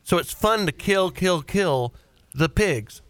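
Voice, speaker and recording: Teasing male radio host, very animated. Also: high-pitched, takes it slowly, smooth, no room sound. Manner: teasing, joking. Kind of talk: casual comedic radio banter. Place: dry radio studio.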